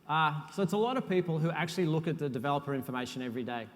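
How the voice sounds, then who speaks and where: A man's voice: a little high, rapid-fire. Man presenting in a reverberant hall. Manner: relaxed, self-deprecating presenter with frequent uhs. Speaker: conference speaker, software architect. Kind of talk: technical conference talk. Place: conference hall.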